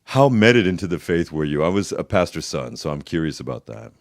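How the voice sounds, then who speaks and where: Host: deep-voiced man from New York City, blunt, playful questioner, dry close sound. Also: big pitch swings, brisk, gravelly, no echo. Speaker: podcast host. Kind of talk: casual interview chat.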